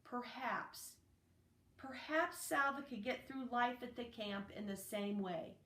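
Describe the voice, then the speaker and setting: A woman reading a children's novel aloud as narration, in a deliberate, phrase-by-phrase way. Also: a little high, a bit bright. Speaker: audiobook reader. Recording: roomy, echoey, distant microphone.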